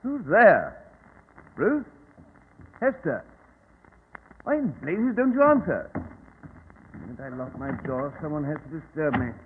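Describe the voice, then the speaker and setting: A man's voice: fairly high pitch, huge pitch swings, takes it slowly, pauses often, narrow, tinny audio, heavily acted. A man playing an irritable, easily alarmed lord in a 1940s radio drama, exclaiming theatrically on an old noisy recording. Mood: irritated. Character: irritable Scottish lord, frightened in his study.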